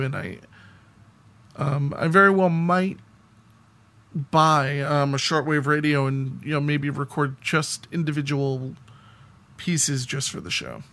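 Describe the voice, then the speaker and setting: This is an American man with a slightly high voice for a male speaker. He talks casually, as a co-host chatting on a podcast. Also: fairly bright tone.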